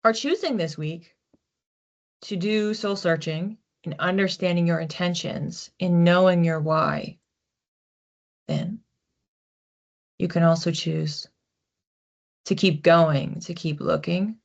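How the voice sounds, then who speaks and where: Low-voiced woman giving an intuitive reading. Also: slow pace, pauses often, muffled recording. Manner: conversational, reflective, animated asides. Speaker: psychic card reader, host. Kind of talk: informal spoken oracle card reading.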